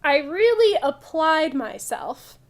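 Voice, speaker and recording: A woman voicing an excitable child storyteller from a picture book, with animated, emphatic delivery. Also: high voice, takes it slowly, heavily acted. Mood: excited.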